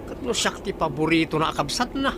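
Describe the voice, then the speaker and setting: An actor with an Ilocano accent playing a spoiled, boastful and touchy young boyfriend in a radio drama. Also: male voice, fairly high pitch, sing-song, brisk. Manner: boastful, petulant. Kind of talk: Filipino radio drama acting. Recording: noisy, vehicle sound behind.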